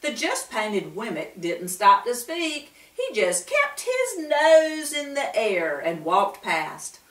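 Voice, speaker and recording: A woman reads a children's storybook aloud, slow and expressive, her voice rising and falling a lot as she asks the listeners questions. She sounds distant from the mic in a roomy, echoey space. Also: a little high.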